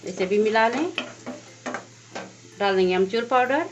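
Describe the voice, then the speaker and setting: A woman's voice: a little high, animated, unhurried, slight background noise. Woman cooking host, slightly muffled sound. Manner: plain, instructive, walks through each step. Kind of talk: step-by-step cooking demonstration. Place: home kitchen at the stove. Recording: slightly dull lo-fi sound with faint music.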